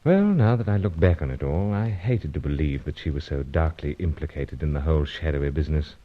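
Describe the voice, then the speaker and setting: An actor playing a suave, earnest British secret agent in an old radio adventure serial, with deliberate, clipped, dramatic delivery. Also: male voice, extremely low pitch, expressive. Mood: wistful, reflective. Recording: dull lo-fi old radio recording.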